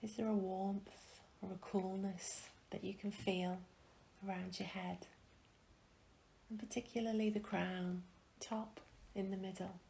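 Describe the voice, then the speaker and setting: A woman leading a guided meditation in a gentle, calm, soft and breathy voice, with long pauses, often trailing into a whisper. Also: mid-range voice, a little hiss or hum, poor audio. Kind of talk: guided meditation, soothing instruction.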